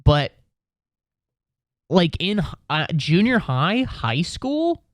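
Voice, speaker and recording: A man in his twenties, sick with a cold, hosting a comedy podcast from home in a profane, exasperated rant with sudden squeaky outbursts. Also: sing-song, takes it slowly, no room sound. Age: 20s. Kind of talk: ranting comedic monologue. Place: home room.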